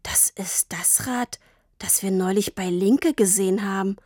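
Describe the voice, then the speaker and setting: A woman voicing a hot-tempered, hurt father in a dramatic audiobook, angry and hissing or shouting. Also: mid-range voice, fairly even intonation, moderate pace, dry recording, clean studio sound, natural voice. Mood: stunned. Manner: shouting in anger, hissing through his teeth.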